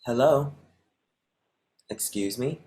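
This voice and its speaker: A man's voice: medium pitch, slow pace, warm tone, natural voice. A man playing a jaded, tough middle-aged prostitute in a screenplay table read, blunt and weary. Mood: irritated.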